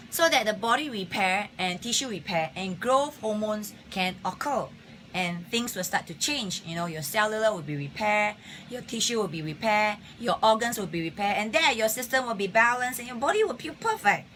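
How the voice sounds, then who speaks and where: A woman with a husky voice hosting a casual live-streamed health talk, chatting and greeting viewers by name between points. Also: medium pitch, bright, forceful tone, a little hiss or hum. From Singapore. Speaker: health talk show host. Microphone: phone or webcam on a live stream.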